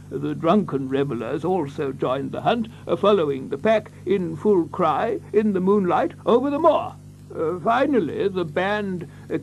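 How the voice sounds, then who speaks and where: An excitable, fussy country doctor in an audio drama. His high voice swoops up and down as he stammers, dithers and repeats himself theatrically. A faint hiss sits under the old recording. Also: poor audio. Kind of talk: theatrical radio drama acting.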